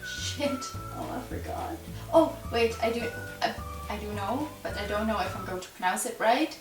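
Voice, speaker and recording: A woman's voice: a little high, lively intonation, normal speed. A 21-year-old woman from the Netherlands with a Dutch accent and Dutch as her first language, chatting and rambling to camera with frequent giggles and fillers. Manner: chatty, rambling, giggly, with many likes and ums. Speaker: YouTuber answering a tag. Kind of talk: casual chat to camera. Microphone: camera mic at a distance. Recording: roomy, distant, with music behind. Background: music playing under the voice.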